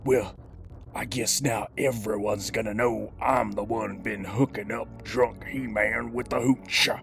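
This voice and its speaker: A man's voice: on the low side, steady tone, unhurried, gravelly, fairly bright tone, faint music behind. A man voicing a cocky cybernetic gangster villain who hosts a toy review, talking in theatrical, slangy old-time mobster style. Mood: wry, musing. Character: cybernetic master criminal gangster, cocky, streetwise action-figure villain. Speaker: host of a toy review show. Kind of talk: in-character scripted review monologue.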